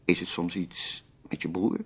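An actor playing a concerned, persistent old theatre friend in a radio drama, familiar and gently probing. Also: male voice, low-pitched, little pitch movement, normal speed, slightly rough, whispered. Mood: insistent, worried.

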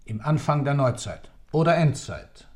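An actor playing a sober, self-justifying executioner in a German radio drama, speaking formally, precisely and haughtily. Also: male voice, a little high, animated, normal speed, close to an everyday voice. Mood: haughty, dry.

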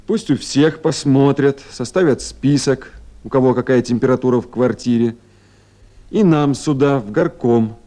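An actor playing a calm male Soviet Party official in a radio play, measured and firmly instructing. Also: mid-range voice, natural voice.

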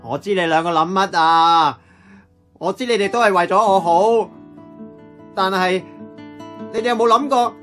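A man playing an eager, impatient young nephew in a radio drama, animated and colloquial, with a Hong Kong accent. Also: sits high, moderate pace, long pauses. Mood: frustrated, pleading. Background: plucked guitar music.

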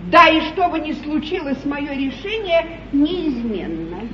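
A woman's voice: high voice, expressive, measured pace, echoey room. An actress playing a fretful yet imperious queen in a theatrical, declamatory mid-century stage comedy style. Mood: indignant, imperious. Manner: grand, declamatory, plaintive. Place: large theatre stage, echoing. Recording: muffled, hissy 1950s theatre broadcast, distant.

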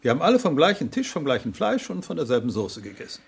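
Prickly learned pharmacist, very creaky husky voice, indignant under questioning, sixties radio crime drama. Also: male voice, medium pitch, huge pitch swings, quick pace, exaggerated voice. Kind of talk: 1960s German radio crime play acting.

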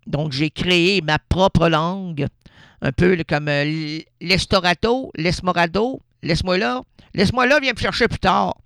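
A Québécois man rambling playfully on the radio, drifting from one thought to the next and talking back to himself. His voice is fairly high for a man, with a gravelly edge. The studio sound is close and dry. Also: a bit bright, no room sound. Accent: Québécois French. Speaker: radio host. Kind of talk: rambling absurd monologue.

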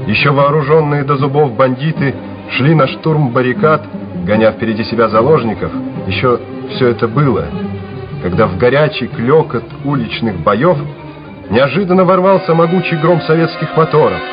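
A man narrates a war story for a radio play in a scripted, dramatic style. His pitch sits in the middle range, and music plays softly behind him on a slightly dull recording. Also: moderate pace.

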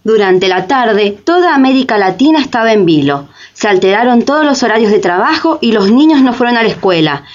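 A woman's voice: fairly high pitch, sing-song, a little fast, few pauses, highs cut off. A woman playing a narrator in a radio drama, telling events as scripted dramatic narration. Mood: narrative.

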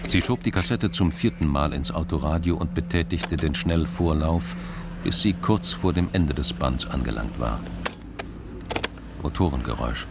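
An actor narrating a German crime radio play as a sober, measured male storyteller. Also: rumbling low, background noise. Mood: measured, tense.

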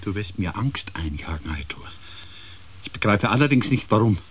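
An actor playing an irritable, sceptical expedition crewman with a husky voice, in a German science fiction radio play. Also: fairly low pitch, expressive, moderate pace, lightly acted. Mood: contained anger.

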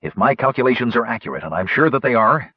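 A man's voice: mid-range voice, lively intonation, fast, slightly rough, dry recording, muffled recording. A man voicing an eager male gnome navigator who talks breathlessly and in exclamations. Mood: proud.

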